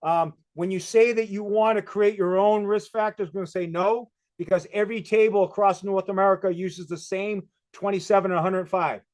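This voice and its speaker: A man with a Boston accent giving a brisk presentation. He walks through the steps with quick questions and answers. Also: high voice, fairly even intonation, a little mellow. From Massachusetts. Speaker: presenter on community crisis programs. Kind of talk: fast presentation talk.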